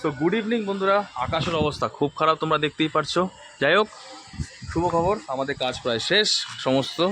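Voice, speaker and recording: Fast-talking man vlogging over background noise. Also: a little high, pushed and bright. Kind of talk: casual daily vlog narration to viewers. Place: on location at home, roads and work sites. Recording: clear vehicle and outdoor noise.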